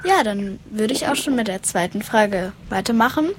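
A woman's voice: mid-range voice, lively intonation, normal speed. Tentative female interviewer, faint background noise. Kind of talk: informal prepared interview. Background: faint ambient noise.

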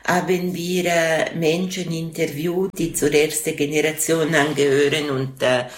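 A woman's voice: low voice, flat delivery, measured pace, echoey room. A woman from Switzerland, a psychotherapist and author talking in an interview, hesitant with many fillers and restarts. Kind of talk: conversational interview.

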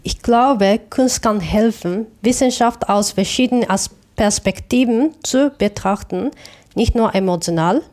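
A woman from Japan with a Japanese accent, giving reflective interview answers in a thoughtful, halting way with self-corrections. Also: a little high, a little mellow. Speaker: interview guest, artist.

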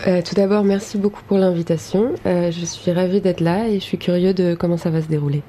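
Woman, live interpreter, halting with fillers, mellow tone, faint noise. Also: fairly low pitch, steady tone. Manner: hesitant, frequent fillers and restarts. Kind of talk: live interpreting on a radio show.

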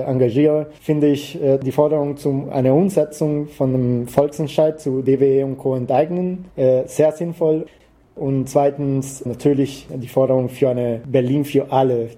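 Male interviewee, activist from Costa Rica, Latin American Spanish accent, halting delivery, notably soft dark timbre. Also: mid-range voice. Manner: halting, searching for words. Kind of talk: interview answers.

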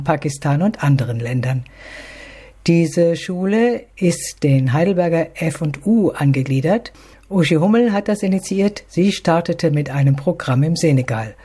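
A man's voice: a little high, mellow, slightly rough. A man narrating a short documentary report and interviewing a guest with short encouraging prompts.

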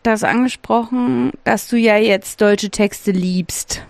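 A woman's voice: medium pitch, steady tone, takes it slowly, gravelly, darkish tone, dry recording. A woman co-hosting a casual chat, curious and enthusiastic, asking short questions. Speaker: co-host.

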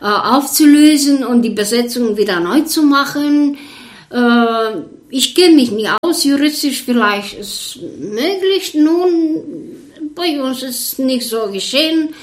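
An older woman from Bulgaria with a Bulgarian accent, a violinist recalling her past in an interview in slow, hesitant German full of fillers. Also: high-pitched. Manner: hesitant, many fillers, searching for German words. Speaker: orchestra violinist. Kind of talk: interview recollection.

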